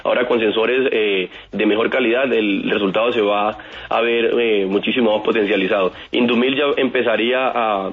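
A man's voice: on the low side, fairly even intonation, normal speed. A man in his twenties from Antioquia with a mixed Antioquian and coastal Colombian accent, answering radio interview questions earnestly with many fillers. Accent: Antioquian with Colombian Caribbean coast touches. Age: 20s. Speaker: inventor guest. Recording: tinny phone line.

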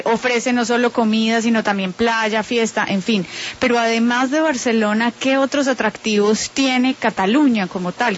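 A woman co-hosting a radio interview, asking questions in a chatty, curious way with fillers. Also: a little high. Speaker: radio co-host. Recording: muffled radio broadcast with hiss.

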